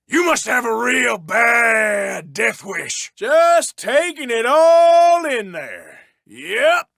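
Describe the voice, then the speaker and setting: An actor playing a sneering, violent outlaw in a video game, shouting gravelly threats and insults at lawmen. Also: male voice, very high-pitched, no room sound, studio quality, heavily acted. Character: taunting violent outlaw man fighting lawmen. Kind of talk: video game combat barks.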